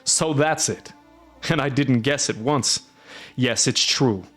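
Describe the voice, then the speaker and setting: A man voicing a loud, gruff middle-aged castellan who speaks emphatically with sharp rises. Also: mid-range voice, takes it slowly, soft background music. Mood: blustering. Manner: exclaiming, emphatic, rising.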